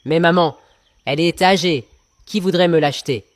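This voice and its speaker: A man's voice: on the high side, moderate pace, dry recording, close to an everyday voice. A man playing a cheeky, argumentative young farm lad in a children's cartoon fairy tale, eager and playful. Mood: earnest. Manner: cheeky, eager, pun-loving. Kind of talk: children's cartoon storybook acting.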